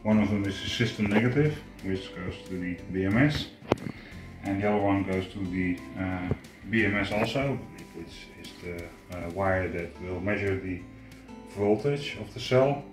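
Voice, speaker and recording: A man in his forties explaining hands-on work casually to camera, halting as he thinks aloud. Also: deep voice. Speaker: host of a boat DIY channel. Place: indoors, home living room and boat cabin. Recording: echoey room, music behind.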